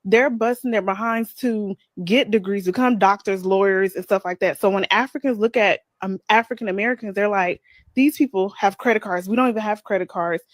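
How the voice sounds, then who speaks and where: A woman's voice: mid-range voice, brisk, no room sound. A woman in a casual group debate, rambling and repeating herself with slang. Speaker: discussion guest in a played clip.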